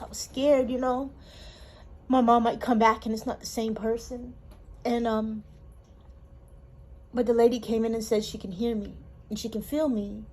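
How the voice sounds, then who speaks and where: Woman, somewhat high voice, interviewee, personal testimony, pausing, emotional. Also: a little mellow. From Honolulu, Hawaii. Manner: halting, emotional storytelling with pauses.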